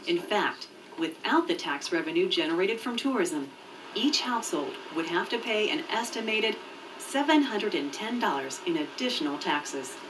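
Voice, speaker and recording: Woman narrator over music on old tape. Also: medium pitch. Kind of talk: scripted promotional video narration. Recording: muffled distant VHS tape dub with music. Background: background music.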